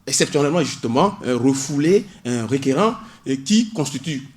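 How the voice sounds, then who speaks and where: Hesitant male law student, plain pitch. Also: expressive, moderate pace, darkish tone. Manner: hesitant, many fillers, cites legal articles. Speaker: guest, doctoral student in public law. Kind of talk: radio interview explanation.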